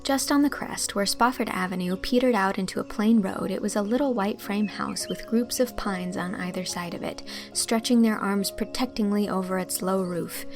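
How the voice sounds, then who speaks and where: A woman's voice: medium pitch, normal speed, few pauses, soft background music. A woman narrator reading scripted literary fiction as an audiobook.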